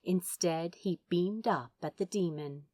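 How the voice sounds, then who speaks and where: A woman reading a story aloud as a narrator, with dramatic, deliberate phrasing and drawn-out words. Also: fairly low pitch, mellow, dry recording. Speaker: audiobook narrator. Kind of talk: expressive scripted story narration.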